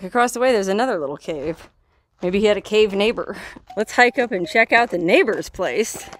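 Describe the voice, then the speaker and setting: A woman, chatting playfully to a handheld camera as she explores a cave cabin outdoors, laughing and spinning silly stories. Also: medium pitch. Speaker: solo outdoor adventure vlogger. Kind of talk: casual vlog narration while exploring. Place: outdoors, inside a rock cave and cabin in a canyon. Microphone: handheld camera at arm's length.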